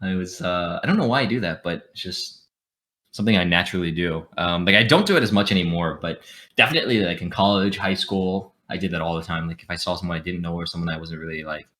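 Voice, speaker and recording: Young man guest, rambling storyteller, bright forward tone, lowish voice, headset at home. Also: fast talker. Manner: rambling, self-deprecating, often restarts sentences. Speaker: podcast guest, streamer. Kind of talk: casual storytelling on a video call. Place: home room with gaming chair.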